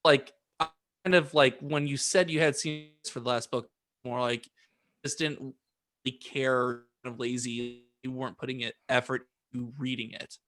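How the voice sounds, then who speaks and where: Bright, forceful man bantering, dry close sound. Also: mid-range voice, steady tone, dry recording. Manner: halting, jokey, trips over his words. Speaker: podcast co-host. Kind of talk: casual banter. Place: remote video call.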